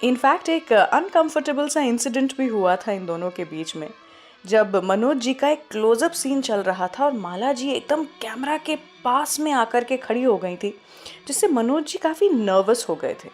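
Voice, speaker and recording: A woman's voice: fairly high pitch, animated, normal speed, darkish tone, soft background music. A woman with an Indian accent hosting a radio show, telling stories conversationally and addressing listeners with questions. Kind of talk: scripted radio presenting with film trivia and song introductions.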